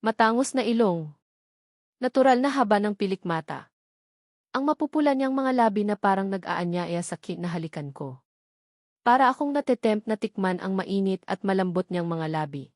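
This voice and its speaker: A woman with a Filipino accent narrates a first-person romance novel. Her pitch sits in the middle range, and the sound is dry with very little room. She reads as an audiobook storyteller. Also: expressive, normal speed, darkish tone, no echo.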